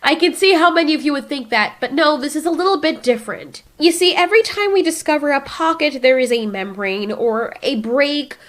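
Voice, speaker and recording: A woman voicing a nervous, formal female kaiju spokesperson giving a speech in an emphatic, deliberate way. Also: high-pitched, voice pushed up, natural voice. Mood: explaining.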